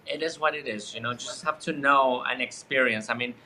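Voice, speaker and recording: A man's voice: medium pitch, a little fast, bright, forceful tone, a little hiss or hum. A man in his thirties from Chile, a guest in a casual spiritual conversation who rambles and searches for his words. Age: 30s.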